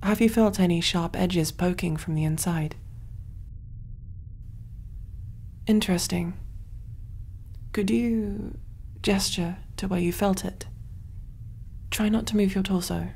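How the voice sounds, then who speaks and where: A woman voicing a kind, clinical alien surgeon in a gentle, reassuring ASMR roleplay, soft-spoken and often whispering. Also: medium pitch, husky. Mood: focused, curious.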